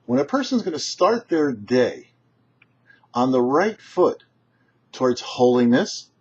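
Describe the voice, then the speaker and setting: A man, a rabbi hosting a short religious teaching, speaking emphatically and deliberately with dramatic pauses. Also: mid-range voice, sing-song, a little mellow, husky. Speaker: rabbi, show host. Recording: muffled, dull lo-fi sound.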